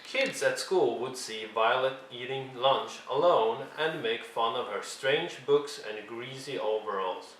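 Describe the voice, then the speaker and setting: Man, children's storyteller, expressive read-along, dramatic emphasis, echoey room, faint noise. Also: medium pitch, unhurried, hardly any pauses. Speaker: storyteller reading a picture book aloud. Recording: roomy echo, faint background noise.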